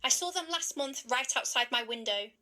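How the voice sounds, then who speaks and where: A woman playing an awestruck, frightened eyewitness in a scripted interview, speaking in halting phrases. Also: sits high, animated, pushed and bright. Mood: awed, frightened. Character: awestruck frightened witness of strange lights.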